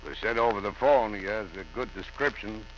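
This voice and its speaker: An actor playing a genial but probing police captain in an old radio drama, brisk and plain-spoken. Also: male voice, fairly low pitch, close to an everyday voice. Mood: businesslike. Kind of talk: 1940s radio drama acting. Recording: dull vintage radio, faint hum.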